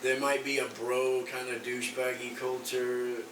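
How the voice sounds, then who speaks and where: Brief: male interviewer, halting casual questions, distant mic in a noisy room. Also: medium pitch, monotone, roomy sound. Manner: hesitant, many "um" and restarts. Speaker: podcast host.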